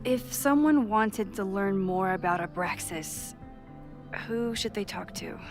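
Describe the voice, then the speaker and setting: An inquisitive young woman sleuth from a story game. She talks in a natural, understated, probing way at a medium pitch, determined underneath, with soft music behind her. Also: slow. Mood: probing, careful. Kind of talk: naturalistic video game acting.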